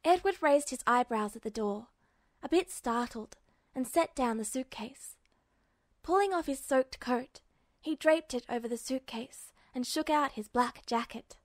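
A woman's voice: sits high, expressive, a little slow, no echo, clean studio sound. A woman reading a story aloud as a scripted fiction narrator. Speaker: audiobook narrator.

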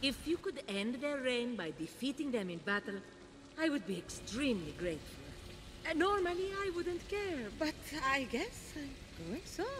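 Vain, swooping high-voiced goddess. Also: female voice, very animated, moderate pace. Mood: gracious, haughty. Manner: theatrical, preening, arch. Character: vain, haughty, flirtatious goddess of love. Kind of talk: game character acting.

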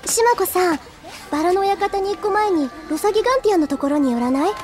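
An earnest teenage schoolgirl for an anime radio play. Her voice sits extremely high and she is easily flustered. Soft music plays faintly behind her. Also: animated, fairly quick. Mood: earnest, worried. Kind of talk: Japanese anime audio drama acting.

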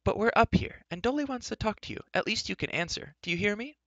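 Man voicing a high-pitched, outspoken girl. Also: animated, quick pace, a bit bright, dry recording, muffled recording. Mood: impatient. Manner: scolding, talkative. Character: outspoken, chatty young girl, bossy and exasperated.

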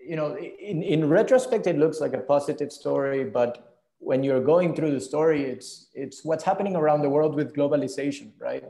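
A man's voice: fairly high pitch, moderate pace, vocal fry, dark tone. A man in his thirties from Venezuela, answering reflectively as a guest on a video call interview. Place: indoor room, video call.

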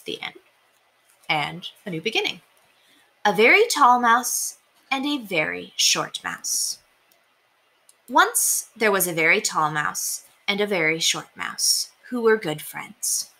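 A young woman reading a children's storybook aloud in an expressive, deliberate way. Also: mid-range voice. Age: young adult. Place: small indoor room. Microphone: webcam at desk distance.

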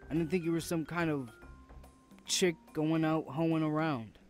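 A man's voice: on the high side, steady tone, unhurried, soft background music, close to an everyday voice. A man voicing a rude, protective older brother in an audio roleplay, blunt and confrontational. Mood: angry, accusing. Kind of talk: amateur audio roleplay acting.

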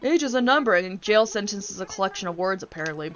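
A woman's voice: mid-range voice, lively intonation, quick pace, dull audio. A woman in her twenties ranting casually on a gaming stream, blunt, exasperated and sweary as she tells stories. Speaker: streamer, VTuber host.

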